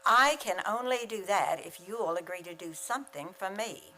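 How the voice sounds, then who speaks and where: Radio comedy role: wry female high school teacher, played by an actress, mid-pitched, dry sarcasm. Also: lively intonation, moderate pace, bright, forceful tone, faint music behind, poor audio. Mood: deadpan. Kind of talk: old-time radio comedy acting.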